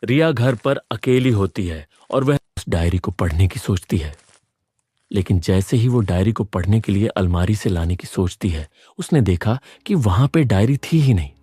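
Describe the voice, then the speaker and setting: A man's voice: on the low side, lively intonation, normal speed, dark tone, slightly rough, dry recording. A man reading scripted narration for a moral story as its narrator.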